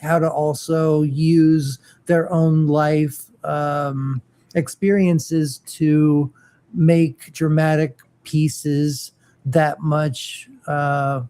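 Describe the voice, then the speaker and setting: Slow, mellow, hesitant co-host. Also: medium pitch, little pitch movement. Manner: hesitant, stacks of fillers, repeats words. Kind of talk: casual geeky chat.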